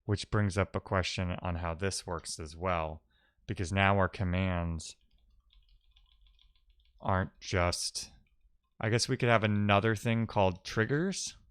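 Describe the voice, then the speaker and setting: A man's voice: sits low, clean tone, no echo. A man talking casually through technical ideas as a live-coding streamer, musing aloud and posing questions to himself.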